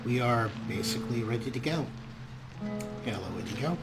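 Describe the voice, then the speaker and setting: A man's voice: mid-range voice, animated, moderate pace. A man hosting a let's play, giving casual game commentary with wry, tongue-in-cheek asides. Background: game music and character voices.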